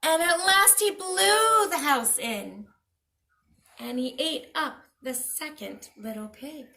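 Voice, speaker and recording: A woman storyteller reading a children's picture book aloud in an expressive, dramatic way, addressing young listeners. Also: high-pitched, slow, creaky. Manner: dramatic, asks the listeners questions.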